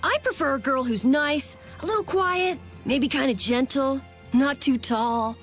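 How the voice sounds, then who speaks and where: An actress playing an eager, nervous young boy adventurer in a video game, with a hesitant, excitable, boyish delivery. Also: sits high, a little slow, soft background music. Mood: bashful. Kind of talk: video game cutscene acting.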